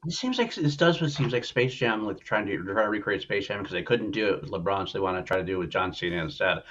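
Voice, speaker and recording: Animated, fast-talking man hosting a podcast. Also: fairly low pitch, highs cut off. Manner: opinionated, emphatic, repeats himself for emphasis. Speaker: podcast host. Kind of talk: casual, profane movie news chat.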